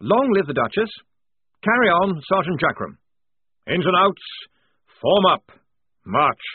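A flustered, short-sighted young army lieutenant, voiced by a man, stammering and hunting for words. The voice leaps up and down in pitch. It sounds husky and thin, as if heard over a phone. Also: sing-song, a little slow, no room sound, heavily acted. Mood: hesitant. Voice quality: stammering, hesitant. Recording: dry tinny phone-like sound.

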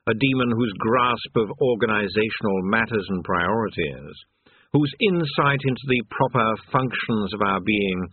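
A man voicing an old demon courtier in a storybook, grandly obsequious and slyly flattering, drawn-out and oily, on a narrow tinny recording. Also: on the low side, slightly rough, no room sound. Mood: obsequious praise. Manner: drawn-out, oily, expansive, sneering. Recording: narrow tinny phone-like sound.

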